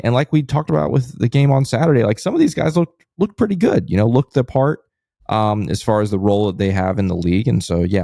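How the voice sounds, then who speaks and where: A man's voice: low voice, creaky, a little mellow, no echo, clean studio sound. A man co-hosting a sports podcast, talking casually and with enthusiasm.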